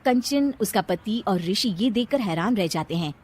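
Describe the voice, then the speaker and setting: A woman's voice: mid-range voice, animated, normal speed, a little mellow, slightly rough, no room sound. A woman narrator reading a scripted moral story for a cartoon.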